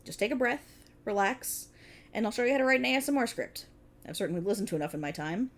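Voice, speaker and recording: A woman's voice: mid-range voice, animated, quick pace, natural voice. A woman playing a prickly, exasperated police officer in a scripted ASMR roleplay, talking in a wry, bossy, explanatory way to an unheard partner. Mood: wry, encouraging. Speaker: voice actor in audio roleplay. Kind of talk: scripted ASMR roleplay, one-sided dialogue.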